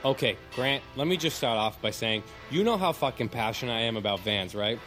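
A man plays a jovial, brash Mexican garage mechanic. He is passionate and foul-mouthed, with a mid-pitched voice in an audio drama over faint music. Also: animated, a little fast, fairly bright tone, natural voice.

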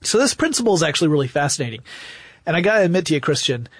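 A man's voice: fairly high pitch, big pitch swings, racing, a bit bright, husky, no room sound. A man hosting a technology podcast, explaining technical detail conversationally and with enthusiasm into a close microphone. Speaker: podcast host. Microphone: close studio microphone.

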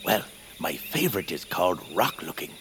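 Feral hermit, audio fiction: low voice, wildly varied delivery, blunt, needy, deadpan. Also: male voice, moderate pace, gravelly, a little hiss or hum, exaggerated voice.

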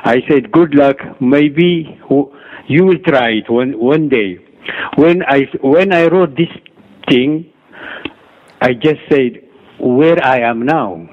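A middle-aged man with an Ethiopian accent, a doctor and author giving interview answers in a halting way, often repeating and restarting words. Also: medium pitch. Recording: dull lo-fi radio recording.

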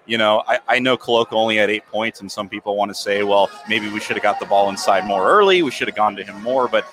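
A man co-hosting a sports talk show, explaining the game in a measured way. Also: lowish voice, poor audio. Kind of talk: casual sports analysis.

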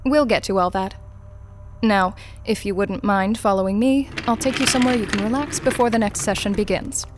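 A woman's voice: mid-range voice, normal speed, faint music behind, lightly acted. Woman acting cool, formal corporate interrogator. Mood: composed, businesslike. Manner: formal, clipped, detached. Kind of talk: naturalistic audio drama acting.

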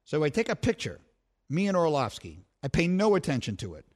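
A middle-aged man hosting a sports talk show, telling stories with animated self-mocking humor. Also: a little high, brisk. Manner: animated storytelling, laughs at himself.

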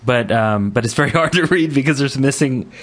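A man's voice: mid-range voice, lively intonation, fairly quick. A man co-hosting a casual podcast, chatting in a jokey way and laughing readily as he talks. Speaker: podcast co-host.

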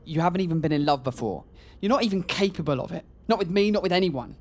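A man's voice: a little high, lively intonation, quick pace, darkish tone. A man playing a hurt, accusing lover in an audio drama, emotional and insistent. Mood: bitter, accusing. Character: wounded, accusing male lover in a quarrel.

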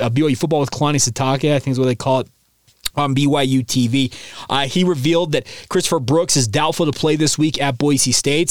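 Man, podcast host, slightly hoarse, excited chatty delivery, fillers and restarts, dry close sound. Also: on the high side, no room sound. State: slightly hoarse, coming down with a sore throat. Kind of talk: casual sports talk podcast.

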